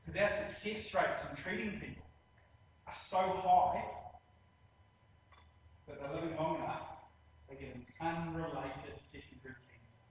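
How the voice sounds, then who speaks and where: A man with a New Zealand accent giving a presentation on hospital plans, speaking plainly with many pauses and a habit of saying "actually". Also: fairly high pitch, recorded from a distance, a little hiss or hum. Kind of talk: presentation in a lecture series. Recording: very echoey room, voice from afar, faint ambience.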